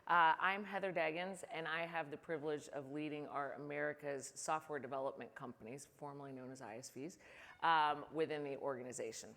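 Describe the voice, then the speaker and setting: A woman's voice: sits low, fairly even intonation, fairly quick, vocal fry, a bit bright. A woman business leader speaking on a conference panel, upbeat and conversational, sharing a personal story. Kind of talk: panel discussion. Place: conference stage.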